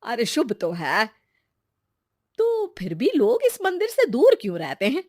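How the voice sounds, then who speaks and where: A woman's voice: on the high side, wildly swooping pitch, unhurried, a little mellow, heavily acted. A woman voicing a curious, chatty young village woman in a moral-story cartoon, with colloquial exclamations.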